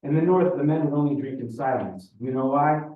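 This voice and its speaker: A man's voice: medium pitch, brisk, natural voice. A man voicing a teasing older Russian father who speaks in folksy maxims, in a story reading. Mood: wry, expansive. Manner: pronouncing, folksy maxims in non-native phrasing. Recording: echoey room, distant muffled mic.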